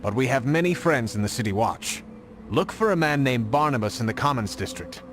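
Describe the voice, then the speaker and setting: A man's voice: mid-range voice, animated, brisk, slight background noise, close to an everyday voice. A man voicing a helpful, sober resistance contact in a video game, speaking earnestly and plainly.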